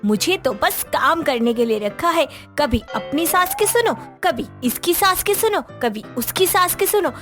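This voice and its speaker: A woman's voice: high-pitched, expressive, fairly bright tone, soft background music. A woman voicing an overworked, grumbling young daughter-in-law in a moral story. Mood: fed up. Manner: quick complaining, sarcastic. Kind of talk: Hindi animated moral story voice acting.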